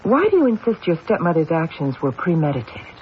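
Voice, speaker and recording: An actor playing a skeptical, businesslike police detective with pointed questioning, in an old-time radio drama style. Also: male voice, a little high, huge pitch swings, a little fast. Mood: probing, cool. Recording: muffled old radio recording, faint noise.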